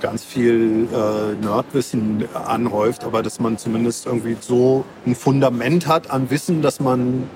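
A man speaks as an interviewed comic creator in a mid-range voice. His delivery is casual and explanatory, with colloquial phrasing, over clear urban background noise. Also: medium pitch, fairly even intonation, a little slow, hardly any pauses.

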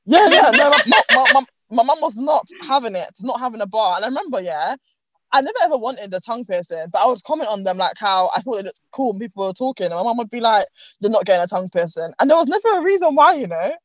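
A woman's voice: on the high side, fast, dry recording. A young woman with a London accent, telling stories in slangy casual chat on a podcast. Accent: London English. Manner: expressive, slangy storyteller.

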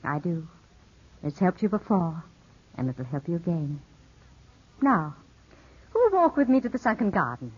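Poised, husky-voiced gracious widow. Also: female voice, lowish voice, sing-song, full character voice. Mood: gently insistent. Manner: polite, coaxing, measured. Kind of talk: 1950s radio drama acting. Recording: muffled old 1950s radio broadcast.

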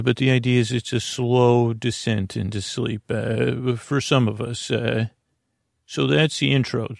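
A man talks in a low-key, meandering ramble, stopping and correcting himself as he drifts from point to point. His voice has a gravelly edge, and it sits close and dry with no room sound. It suits a bedtime podcast host who is in no hurry. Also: no echo. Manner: meandering, full of hesitations and self-corrections.

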